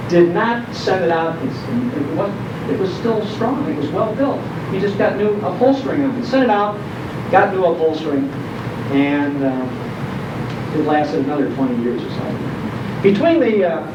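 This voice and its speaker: Older Chicago man, echoey, distant and noisy. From Chicago area, United States. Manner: folksy, descriptive storytelling with emphatic pauses. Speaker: guest speaker, nostalgia storyteller. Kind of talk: informal reminiscing talk to a live audience. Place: indoor program venue, recorded from a distance. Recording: echoey room, distant mic, dull, noisy rumble.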